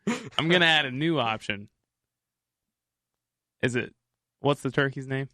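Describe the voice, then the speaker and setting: A man filling in as co-host jokes around in a bright, crisp voice at middle pitch. He keeps things light with laughs. The sound is dry and close. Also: normal speed, no room sound. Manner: joking, laughs between lines. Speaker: guest co-host. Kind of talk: casual chat.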